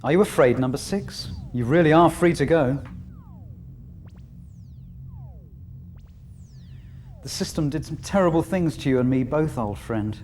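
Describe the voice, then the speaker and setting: An actor playing a weary male overseer of the village, speaking in a measured, wry and quietly regretful way. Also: on the high side, animated, soft background music, lightly acted. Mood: persuasive. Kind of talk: fan audio drama acting.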